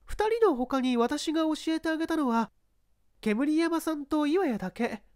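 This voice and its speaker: A woman's voice: high-pitched, moderate pace, a little mellow, no echo, clean studio sound, lightly acted. A woman playing a composed young female witness, speaking politely and formally in a dramatized mystery reading. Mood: earnest, explaining. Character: composed young woman giving testimony to police.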